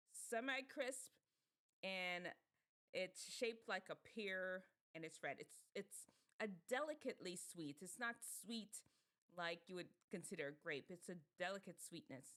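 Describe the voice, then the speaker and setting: Brief: Jamaican woman hosting a casual teen talk show, animated and chatty. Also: mid-range voice. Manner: animated, laughs often, muses aloud. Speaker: show host.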